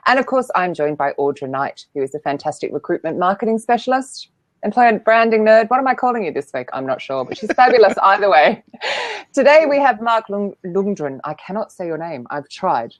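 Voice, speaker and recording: A woman's voice: mid-range voice, very animated, fairly quick, dry recording. An Australian woman hosting a show over a video call, chatty and self-deprecating. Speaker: host. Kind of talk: casual video chat.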